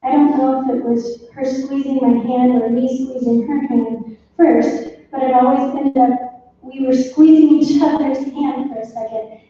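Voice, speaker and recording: A middle-aged woman reading family tributes at a funeral in a halting, informal way. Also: high-pitched, steady tone, dark tone. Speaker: granddaughter speaking for her family. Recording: echoey room, distant muffled mic, faint noise.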